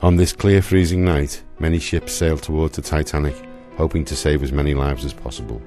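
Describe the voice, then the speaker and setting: A man's voice: a bass voice, steady tone, fairly quick, over music. A man giving dramatic scripted narration for a historical radio play.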